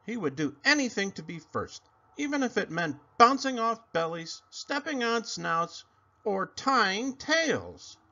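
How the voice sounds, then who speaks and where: A man reading a children's picture book aloud in an animated, dramatic, sing-song way. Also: high voice, unhurried. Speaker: read-aloud storyteller. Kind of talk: expressive children's story reading. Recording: dull lo-fi sound.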